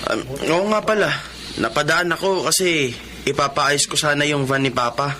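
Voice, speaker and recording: A man's voice: fairly high pitch, moderate pace, natural voice. A man playing a friendly, sheepish young customer, chatting casually in a Tagalog radio drama. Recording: clear background noise.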